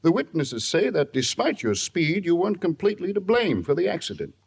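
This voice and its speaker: An actor playing a stern, shrewd judge with a gravelly voice, admonishing in measured old-time radio drama style. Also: male voice, high voice, big pitch swings, heavily acted. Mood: stern, firm.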